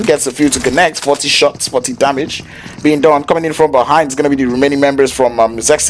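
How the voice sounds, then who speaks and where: A man, a Yoruba speaker, calling an esports match play-by-play in an excitable, slangy way. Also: mid-range voice, background noise. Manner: excitable, slangy, jokes with chat. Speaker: esports caster.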